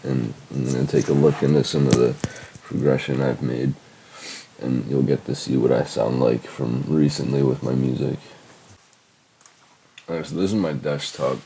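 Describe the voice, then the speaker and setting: Congested teen guy, very deep voice. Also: fairly even intonation, normal speed, vocal fry, dark tone, slight background noise, rough recording. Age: late teens. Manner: rambling, sweary, lots of fillers. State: congested from a cold. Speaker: YouTuber reviewing his old music. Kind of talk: casual screen-recording commentary.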